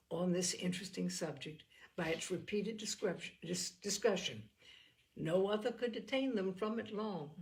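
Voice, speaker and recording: A woman reading a classic novel aloud as a storyteller, with formal, expressive delivery. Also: lowish voice, rough recording. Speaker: audiobook reader.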